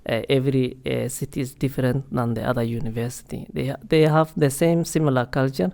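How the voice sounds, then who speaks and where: A man's voice: medium pitch, fairly even intonation, a little fast, gravelly, mellow. A man being interviewed as a university staff guest, speaking hesitantly with lots of "you know" and "uh" fillers. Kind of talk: casual podcast interview.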